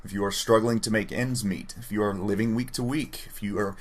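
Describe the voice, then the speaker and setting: A man narrating a scripted sales pitch with rhetorical, list-like phrasing. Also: fairly even intonation, brisk. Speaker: advert narrator.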